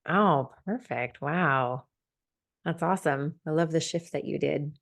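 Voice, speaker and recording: A woman's voice: sits low, a little slow, creaky. A woman hosting a podcast interview, enthusiastic and affirming toward her guests. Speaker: podcast host.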